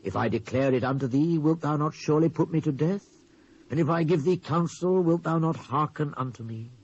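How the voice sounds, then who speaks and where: A man's voice: a little high, fairly quick, a little mellow, voice pushed up, lightly acted. Muffled cassette recording, British man as grave male prophet, insistent, emphatic, oratorical, scripture drama. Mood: wary. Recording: dull lo-fi old cassette transfer.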